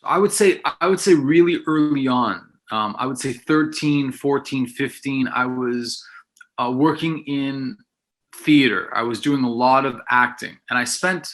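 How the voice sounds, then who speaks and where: A middle-aged man whose first language is Italian, reflecting on his work as a filmmaker in a relaxed interview. His voice is bright and forward, and he circles back on his words often as he thinks aloud. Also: mid-range voice, unhurried. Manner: reflective, many restarts and repeated words. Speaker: filmmaker guest. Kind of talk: casual interview chat.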